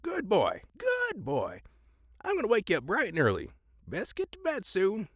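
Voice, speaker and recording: A man's voice: sits high, wildly swooping pitch, moderate pace, fairly bright tone, breathy edge, dry recording, studio quality, exaggerated voice. A man playing a cantankerous, folksy old grandpa in an audio drama, blustering with old-timey exclamations. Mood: gruff but caring.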